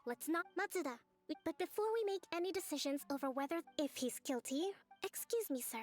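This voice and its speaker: A woman voicing a composed young female island leader who speaks in a calm, measured and polite way. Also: high-pitched, faint music behind. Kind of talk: video game voice acting.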